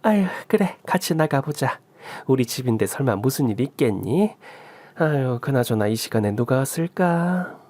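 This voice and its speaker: A woman's voice: very deep voice, lively intonation, moderate pace, dark tone. A man voicing a doting mother-in-law in her sixties who fusses over her daughter-in-law in a radio story. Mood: motherly. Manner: fussing, motherly. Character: doting older mother-in-law, warm and fussing.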